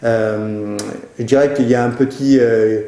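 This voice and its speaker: Mellow-voiced man giving advice, roomy echo. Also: mid-range voice, steady tone, a little slow. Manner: hesitant, informal. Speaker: web show presenter answering viewers' questions. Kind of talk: casual product advice.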